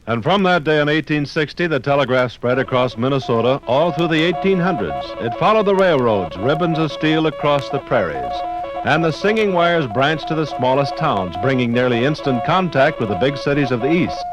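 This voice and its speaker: An American man reading scripted narration for a 1950s radio documentary in a measured, formal manner. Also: fairly high pitch, big pitch swings, music in the background, rough recording.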